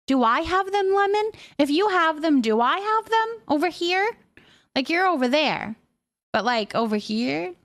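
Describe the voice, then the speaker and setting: A woman with a fairly high voice talks casually on a livestream. She is chatty, moving between comments she reads aloud and her own musings on them, in an unscripted, conversational way. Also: fairly bright tone. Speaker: live streamer reading viewer comments.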